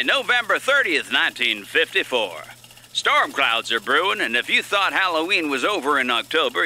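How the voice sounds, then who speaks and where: An actor playing a brisk small-town radio newsman of the 1950s, reading a bulletin. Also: a little high, bright, forceful tone, slight background noise, heavily acted. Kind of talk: 1950s radio news acting.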